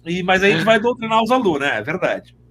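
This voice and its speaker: High-pitched, animated man. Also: quick pace. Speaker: podcast guest, school teacher. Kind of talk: casual animated chat.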